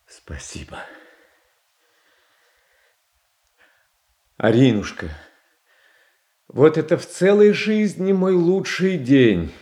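An actor playing a gentle, pious, childlike tsar who longs for peace, speaking tenderly and haltingly in an audio tragedy. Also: male voice, medium pitch. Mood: grateful, joyful. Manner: tender, halting, earnest delivery. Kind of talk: classical Russian verse tragedy in an audio play.

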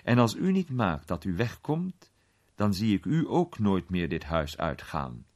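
Gentleman detective for a storybook man performing: composed, well-mannered, learned, low and husky. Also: heavily acted. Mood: polite urging. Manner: calm, polite, measured. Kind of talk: dramatized storybook reading.

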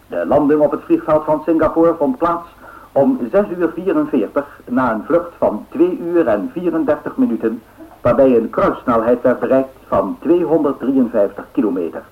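An actor playing a radio newsreader who reads 1930s air race bulletins in a formal, measured way. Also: male voice, medium pitch, close to an everyday voice. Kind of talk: scripted radio news bulletin. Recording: narrow-band old radio recording.

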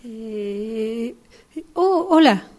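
Evasive, hesitant Argentine woman female actor for radio drama, unhurried, dark-toned, repeating herself awkwardly. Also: on the high side, lively intonation. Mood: awkward, polite. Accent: Argentine, Rioplatense. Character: awkward woman feigning recognition of old friend. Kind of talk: radio drama workshop acting.

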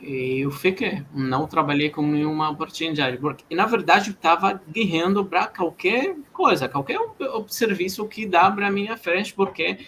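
A man's voice: sits high, normal speed, hardly any pauses, fairly bright tone, a little hiss or hum. A man who learned Portuguese as a second language, talking hesitantly with many restarts during a live stream chat. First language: not Portuguese. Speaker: guest developer.

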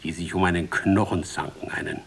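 Man, literary narrator, slightly low voice, ironic rhetorical scripted delivery. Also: fairly even intonation, fairly quick, creaky, breathy edge.

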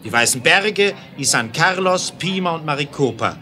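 An actor playing a sober, unflappable railroad aide in a 1970s German radio play, speaking in a precise, factual way. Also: male voice, a little high, lively intonation, normal speed, sharp tone. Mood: explanatory.

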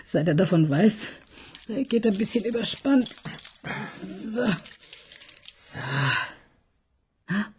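A woman's voice: medium pitch, animated, takes it slowly, pauses often, mellow, slightly rough, heavily acted. An actress playing an ironic, coaxing female police inspector in a radio play, often dropping into a whisper. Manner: teasing, coaxing, mocking. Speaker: police detective.

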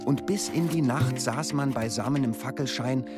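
A man's voice: medium pitch, normal speed. Male storyteller, mid register, scripted radio narration, heavy music bed.

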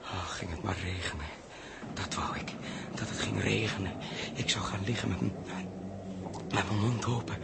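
Weak thirsty man, pleading, halting, gravelly, noisy 1960s radio drama. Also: lowish voice, steady tone. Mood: pleading, desperate. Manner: halting, complaining. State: weak, parched. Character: helpless, thirsty, pleading man crawling for beer.

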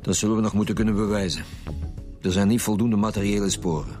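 Actor as stern Flemish police commissioner, music behind. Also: male voice, fairly low pitch, steady tone, fast talker, warm tone, close to an everyday voice. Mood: matter-of-fact. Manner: blunt, insistent. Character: stern, pressing male police commissioner leading interrogations. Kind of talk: radio police drama acting.

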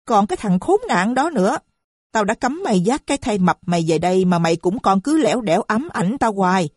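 A woman voicing a shrill, boastful, foul-mouthed middle-aged mother who screeches her abuse. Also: moderate pace, darkish tone, no room sound, clean studio sound, natural voice. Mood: screaming.

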